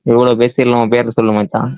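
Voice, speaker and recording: A man's voice: mid-range voice, completely flat delivery, quick pace, clear, resonant tone, dry recording. A man chatting casually in slang on a phone call, questioning the other person in an exasperated way. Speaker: prank call recipient.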